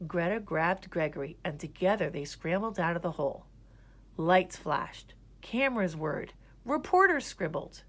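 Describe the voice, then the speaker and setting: A woman's voice: fairly low pitch, expressive, a little slow. A woman reading a children's picture book aloud as a storyteller. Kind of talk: scripted children's story narration.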